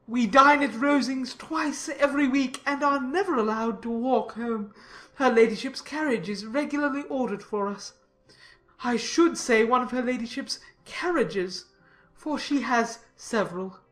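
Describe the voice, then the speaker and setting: A woman's voice: a little high, measured pace, fairly bright tone, soft background music, pitched above the performer's own voice. A woman voicing a pompous, obsequious and long-winded clergyman in a dramatized audiobook, with effusive, deferential delivery. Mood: fawning, proud. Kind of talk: dramatized audiobook character acting.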